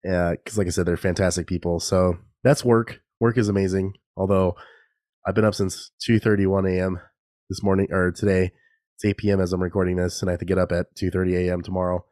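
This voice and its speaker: A young man with a low, congested, slightly nasal voice chats alone on his podcast. He rambles and laughs at himself. The recording is close and dry, with no room sound. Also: level tone, brisk, a little mellow, dry recording. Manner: rambling, self-deprecating, laughs often. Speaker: podcast host. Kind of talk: casual solo podcast chat. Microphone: new podcast microphone.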